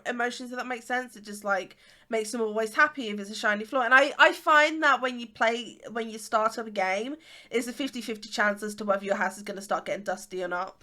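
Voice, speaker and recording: Congested English woman in her twenties, bright, chatty host, rambles with fillers. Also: fairly high pitch. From England. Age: 20s. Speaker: YouTuber. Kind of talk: casual chat.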